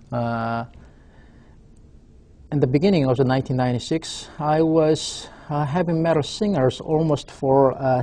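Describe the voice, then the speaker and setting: A man in his forties from South Korea with a Korean accent, a professor giving a webcast lecture in a halting way, filling his pauses with "uh". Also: medium pitch, dark tone. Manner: halting, frequent "uh" fillers. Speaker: university professor.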